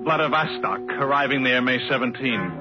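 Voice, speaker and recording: A man's voice: medium pitch, fairly quick, lightly acted. An actor playing a plain-spoken American race driver who narrates his journey in a 1950s radio drama. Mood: matter-of-fact narration. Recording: dull 1950s radio recording, music behind.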